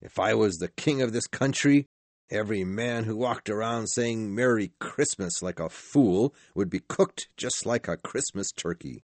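A man's voice: fairly low pitch, measured pace, no echo. A man voicing a grumpy, miserly old businessman in a storybook, curt, scornful and irritable. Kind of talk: storybook character voice.

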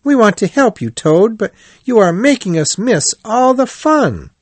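Storybook rat, played by a male reader: male, high-pitched, brisk and practical, with lively swings in pitch. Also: no room sound. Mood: cheerful, brisk. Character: cheerful, brisk, concerned male water rat. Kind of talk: animal storybook character voice.

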